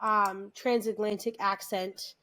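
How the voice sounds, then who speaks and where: An American woman co-hosting a podcast, chatting casually, animated and quick to restart her sentences. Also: medium pitch. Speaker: podcast co-host. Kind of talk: casual chat.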